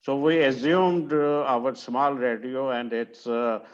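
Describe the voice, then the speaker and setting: An older man from Pakistan, a retired museum director, introducing himself slowly on a video call with many pauses and fillers. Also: medium pitch, steady tone, smooth. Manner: slow and halting, many fillers and restarts. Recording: muffled video call audio.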